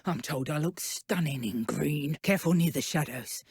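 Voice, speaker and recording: A woman with a British accent playing a haughty, mocking dark witch villain in a video game. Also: low-pitched, normal speed, husky, no echo, clean studio sound, exaggerated voice. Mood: musing, uneasy. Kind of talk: video game combat voice lines.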